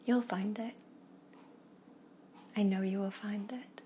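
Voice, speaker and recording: A woman speaks as a meditation guide at a slow, unhurried pace. Her pitch stays even, and her soft phrases trail toward a whisper between long pauses. The recording is a little dull, with faint noise behind her. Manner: hushed, soothing, drawn-out phrases with long pauses. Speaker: meditation guide and Reiki practitioner.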